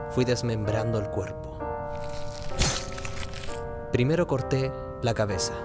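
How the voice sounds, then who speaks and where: A man playing a nervous, obsessive madman confessing a murder in a theatrical, deliberate radio play monologue. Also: medium pitch, close to an everyday voice. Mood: smug, cold. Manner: theatrical, deliberate, halting phrasing. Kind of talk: dramatic radio play monologue, gothic horror narration.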